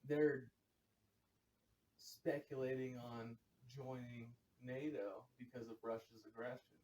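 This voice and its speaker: A man guesting in a casual group chat, halting and repeating words as he goes. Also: medium pitch, steady tone, reverberant, crowd noise. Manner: halting, repeats words while finding them. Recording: distant mic, faint ambience.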